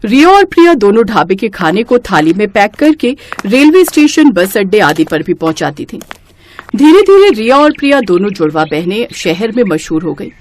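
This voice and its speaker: A woman's voice: mid-range voice, big pitch swings, moderate pace, a little hiss or hum. A woman reading scripted storybook narration as the narrator.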